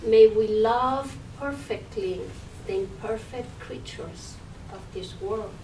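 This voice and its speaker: A woman poet reciting a prayer-like poem at a live reading, solemnly, with pauses and swells and drops in force. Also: on the high side, dark tone. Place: public library reading room. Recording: roomy, distant mic, faint noise.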